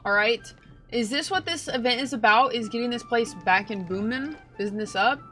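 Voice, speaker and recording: A woman game streamer giving casual, slangy reaction commentary in deadpan asides. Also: a little high, moderate pace, faint music behind.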